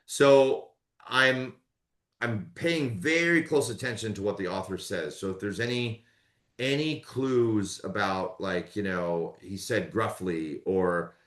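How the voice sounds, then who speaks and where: A man's voice: lowish voice, slow pace, reverberant. A man who narrates audiobooks, talking casually in an interview and rambling with lots of fillers. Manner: relaxed, rambling with many fillers.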